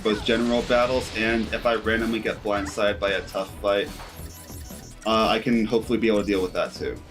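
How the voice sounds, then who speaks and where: Low-voiced man rambling through a game stream. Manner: rambling, thinking aloud. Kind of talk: casual live gameplay commentary. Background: game music.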